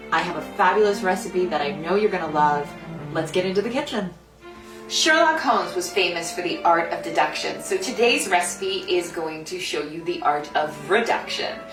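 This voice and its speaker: A woman hosting a cooking demonstration, explaining the recipe step by step in an instructional way. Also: on the low side. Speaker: food designer and cooking host. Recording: roomy echo, distant mic, music behind.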